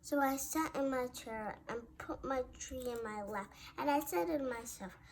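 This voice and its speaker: High voiced woman reading a kids rhyming story slowly, stumbles a bit, some noise in the background. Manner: halting, often stumbling over and repeating words. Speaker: storybook reader. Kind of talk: read-aloud of a rhyming children's Christmas story.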